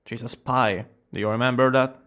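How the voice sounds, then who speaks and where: A man's voice: mid-range voice, fairly even intonation, fairly bright tone, lightly acted. A man playing a smug, dramatic young villain student who is secretly smitten, in an ASMR romance roleplay. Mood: sheepish, resigned. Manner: dramatic, drawn-out boasting that slips into breathy whispers and flustered exclamations. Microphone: close studio-style microphone.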